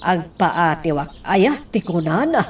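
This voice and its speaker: An excitable, money-minded mother from a radio drama, played by a woman. She speaks very slowly with a lively, swinging pitch, a little away from the mic with faint noise behind. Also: breathy edge, distant mic, exaggerated voice. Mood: eager, flustered. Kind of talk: Ilocano radio drama acting.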